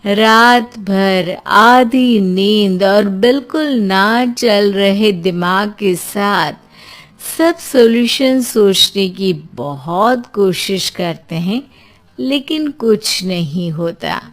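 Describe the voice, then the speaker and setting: A woman storyteller reading scripted narration for an animated tale. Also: mid-range voice, drawn-out words.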